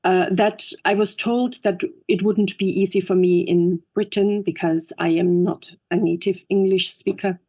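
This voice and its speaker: A woman from Upper Austria whose first language is German, speaking English with an Austrian accent as an interview guest, thoughtful and halting with many fillers. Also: fairly low pitch, steady tone, warm tone. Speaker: guest, actress and director. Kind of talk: interview conversation.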